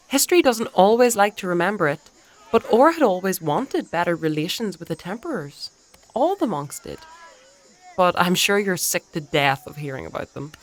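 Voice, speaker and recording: A woman playing a composed fantasy priestess and mentor in a dark audio drama, measured and articulate, with playfully dry wit. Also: medium pitch, natural voice, rough recording.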